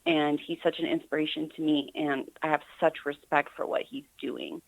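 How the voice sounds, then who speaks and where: A woman's voice: low-pitched, little pitch movement, normal speed, gravelly, dry recording, muffled recording. A middle-aged American woman giving earnest, enthusiastic answers as an author being interviewed on radio.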